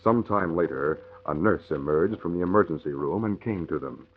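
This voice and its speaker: A man's voice: low voice, normal speed. An American man narrating a radio adventure in a dramatic 1940s announcer style. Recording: dull, faintly noisy old radio recording.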